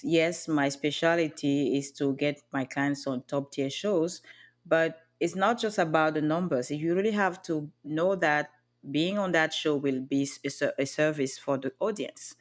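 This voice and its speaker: A woman from Cameroon with a Cameroonian accent, speaking earnestly as a podcast guest and often restarting her sentences. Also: deep voice. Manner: earnest, with frequent restarts and light laughs. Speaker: podcast guest, booking agent. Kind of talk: reflective interview talk.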